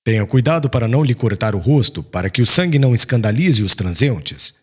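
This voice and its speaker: A man's voice: medium pitch, expressive, brisk, slightly rough. Man voicing a cold, implacable Roman judge. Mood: cold, stern. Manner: cold, commanding. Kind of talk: audiobook character acting.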